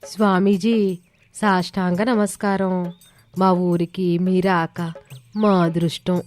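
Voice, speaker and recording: A woman voicing earnest, trusting village women in an animated moral story. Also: on the low side, slow pace, faint music behind. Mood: reverent. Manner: earnest, deferential. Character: troubled, trusting village women seeking a holy man's help.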